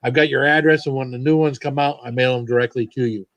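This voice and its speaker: A man's voice: mid-range voice, fairly even intonation, quick pace, dry recording. A man hosting a casual livestream chat, talking loosely and thanking his supporters. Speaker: livestream host.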